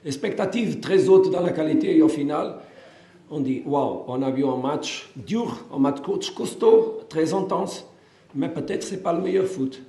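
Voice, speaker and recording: A man from Portugal, a football coach, speaking non-native French with a Portuguese accent at a post-match press conference, measured and halting with many fillers and restarts. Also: on the high side, gravelly, dark tone, roomy sound.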